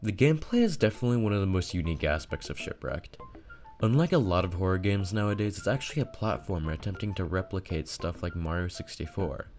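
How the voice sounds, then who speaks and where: A man with a low voice delivers a scripted video game review. He narrates in video essay style, with music playing behind him. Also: animated, fast, darkish tone.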